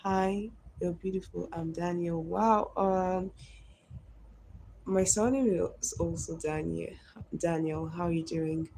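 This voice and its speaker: A woman's voice: on the low side, steady tone, slow, mellow, a little hiss or hum, poor audio. A woman in her twenties chatting on a live stream, reading and answering viewer comments one by one. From Nigeria. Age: 20s.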